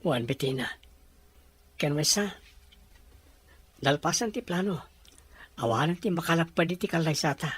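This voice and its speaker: A man's voice: a little high, lively intonation, normal speed, long pauses, fairly bright tone, husky, no echo. A man with a Filipino accent acting a worried, lovestruck young son in an amateur audio drama. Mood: earnest. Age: young adult.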